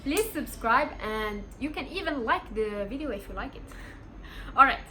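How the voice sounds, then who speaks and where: A woman in her twenties talks through life lessons in a slow, deliberate vlog delivery. Her voice is bright and sits a little high. She sounds earnest and encouraging, and a faint room ambience hangs behind her. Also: expressive, a little hiss or hum, low-quality recording. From Morocco. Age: 20s. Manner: earnest, encouraging, emphatic repeats. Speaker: vlogger. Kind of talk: casual motivational vlog.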